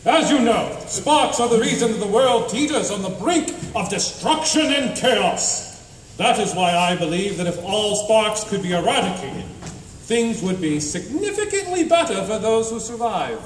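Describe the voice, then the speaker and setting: A man's voice: high voice, big pitch swings, a little slow. A man playing a grandiose, fatalistic adventurer hero in a comic radio drama, declaiming pompously. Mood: grandly resigned. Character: grandiose self-styled hero, cheerfully fatalistic male adventurer. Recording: echoey hall stage, distant, faint noise.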